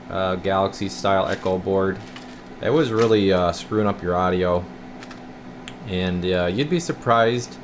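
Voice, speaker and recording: A man speaking as a radio repair technician, giving a casual, folksy hands-on walkthrough to camera in an informal way. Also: low voice. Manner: folksy, informal, addressing viewers as guys. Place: indoor radio repair workshop. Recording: clear steady room noise.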